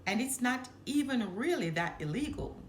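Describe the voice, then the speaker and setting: Woman voicing a glib, animated fox hustler. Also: fairly high pitch, moderate pace. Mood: smug, incredulous. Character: sly, confident young male fox hustler. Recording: roomy echo, some background noise.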